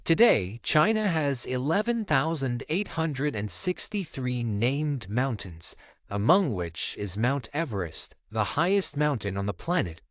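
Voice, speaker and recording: A male narrator delivers scripted documentary voice-over in a measured, dramatic way. His pitch moves around a lot, giving the phrases an animated lift. The sound is dry and close, with no room echo. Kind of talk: scripted documentary-style narration for a video countdown.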